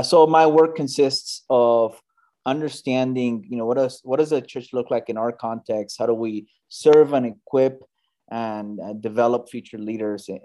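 A man's voice: lowish voice, dark tone. A man in his thirties from the Bay Area, a church planter giving earnest, conversational interview answers full of fillers and restarts. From United States, Bay Area.

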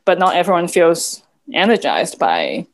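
A woman's voice: lowish voice, sing-song, measured pace. A woman whose first language is Cantonese, speaking as a podcast guest in a thoughtful, reflective way with frequent fillers. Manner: thoughtful, soft-spoken, many "like" fillers, quiet laughs. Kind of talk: reflective conversation.

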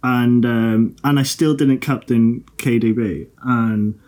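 Man, podcast co-host, rambling, swears, mid-pitched. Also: steady tone, slow, a little mellow. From Liverpool, England. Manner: rambling, swears, laughs often. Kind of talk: casual banter.

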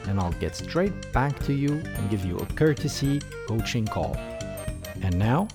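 A man's voice: mid-range voice, very animated, a little slow, over music. A man hosting a podcast, reading a scripted intro and then interviewing his guest with enthusiasm. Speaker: podcast host and coach.

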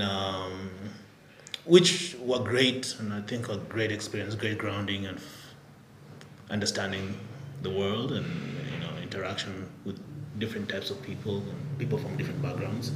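A man from Nairobi, Kenya, telling his story as a podcast guest in a relaxed, reflective way, laughing easily. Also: on the low side, slight background noise. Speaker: podcast guest, startup executive. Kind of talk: casual interview storytelling. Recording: roomy sound with faint ambience.

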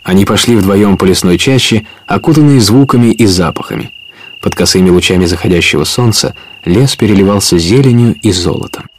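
A man's voice: on the low side, fairly quick, a little hiss or hum. A man narrating an adventure story in a scripted radio play, as a storyteller.

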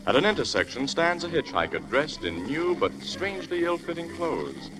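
A man's voice: fairly high pitch, very animated, normal speed, rough recording. A man with an American accent announcing and narrating a 1940s radio drama in a polished, formal way. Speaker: announcer and story narrator for a sponsored radio show. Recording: vintage radio broadcast with music.

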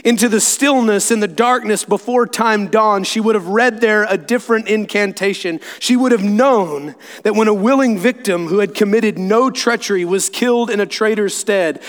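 A majestic storybook lion, read by a man, speaks with calm and solemn authority. Each measured phrase carries a long hall reverb. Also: high voice, voice pushed up.

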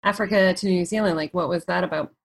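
A woman's voice: fairly low pitch, quick pace, gravelly. A woman hosting a podcast who asks her guest eager, curious questions in casual chat. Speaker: podcast host.

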